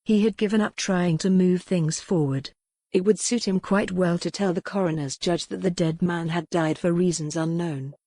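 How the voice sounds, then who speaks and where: A woman reads a novel aloud in an even, measured way. Her voice sits fairly low with a dark, mellow timbre, and the close mic leaves a dry sound with no room. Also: no echo. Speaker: audiobook narrator. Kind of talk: scripted novel narration.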